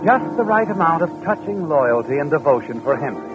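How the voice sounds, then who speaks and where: All-knowing ominous narrator for vintage radio mystery, tinny narrow sound, prominent music bed. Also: male voice, a little high, very animated, a little fast. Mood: sly, taunting. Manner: knowing, ominous, addressing listener as the culprit. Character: omniscient sinister narrator taunting a guilty man. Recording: old radio recording, narrow band, music behind.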